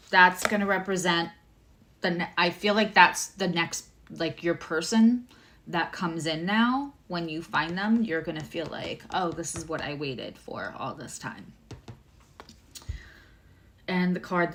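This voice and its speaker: A woman tarot reader and astrologer with a low voice, giving a livestream reading, chatty and emotional, addressing viewers by name. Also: fairly bright tone, slight background noise.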